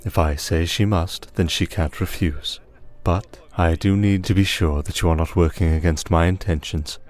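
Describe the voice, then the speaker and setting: A man playing a commanding vampire archduke in a fantasy roleplay, measured, dry and authoritative in manner. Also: low voice, fairly even intonation, a little mellow, close to an everyday voice. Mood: composed authority. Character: commanding, dryly exasperated male vampire archduke. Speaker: lead voice actor.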